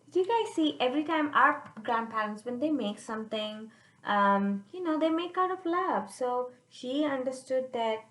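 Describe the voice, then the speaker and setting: A woman's voice: a little high, roomy sound, poor audio. A woman reading a storybook aloud to young children, often turning to the listeners with chatty questions. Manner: addresses listeners directly with checking questions.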